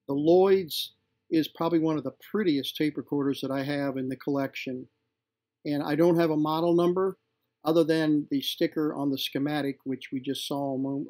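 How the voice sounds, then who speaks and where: A middle-aged man presenting from home, showing vintage gear in an unscripted, halting, informal and appreciative way. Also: a little high, dark tone. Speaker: vintage tape recorder collector and presenter. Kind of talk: unscripted hands-on demonstration.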